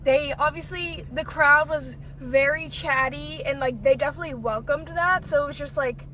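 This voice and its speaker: A woman's voice: sits high, moderate pace, bright, forceful tone, low-quality recording. A woman vlogging in casual chat, talking from a car and filling her sentences with "like". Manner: chatty, many "like" fillers. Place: car on the way to a show.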